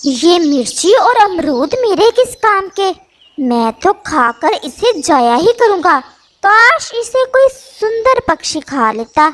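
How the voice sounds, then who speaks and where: A performer voicing a sly male owl in a children's cartoon, oily and flattering as he schemes. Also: extremely high pitch, takes it slowly, full character voice. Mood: sly flattery.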